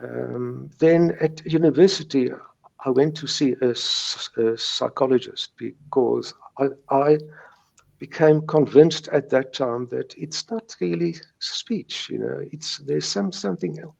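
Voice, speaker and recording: A man's voice: medium pitch, unhurried, vocal fry, darkish tone, poor audio. A middle-aged man with a South African Afrikaans accent, a native Afrikaans speaker, giving a personal account in an interview, stuttering and repeating words and sounds. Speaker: guest recounting recovery from stuttering.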